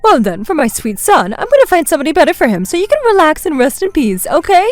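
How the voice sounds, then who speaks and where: A woman voicing a spiteful older mother-in-law who sneers and mocks, pitched higher and louder than her narration. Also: sing-song, fast, dry recording. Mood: sneering. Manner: sneering, mocking.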